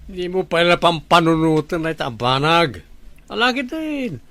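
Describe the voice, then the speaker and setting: A man's voice: on the high side, animated, normal speed, a bit bright, no room sound. A man with an Ilocano accent playing a carefree, boastful hard-drinking husband in a radio drama, teasing and cajoling. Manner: teasing, swaggering.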